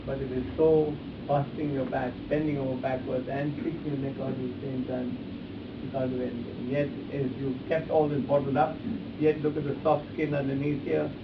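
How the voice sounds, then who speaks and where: A man's voice: mid-range voice, flat delivery, normal speed. Man teaching from afar in echoey room. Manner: emphatic, repetitive phrasing, addressing volunteers directly. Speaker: face reading instructor. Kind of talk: live demonstration to a group. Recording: echoey distant room, muffled, hissy.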